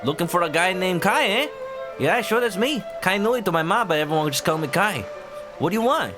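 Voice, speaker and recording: A man voicing a laid-back young male fisherman from a video game, reading his lines aloud in a raised, relaxed voice. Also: sits high, a little fast, over music, voice pushed up. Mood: breezy.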